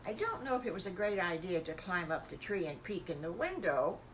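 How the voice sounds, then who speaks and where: A woman voicing a kindly mother pig in a children's story, gentle, reassuring and polite. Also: lowish voice, very animated, fairly quick, a little hiss or hum. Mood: approving.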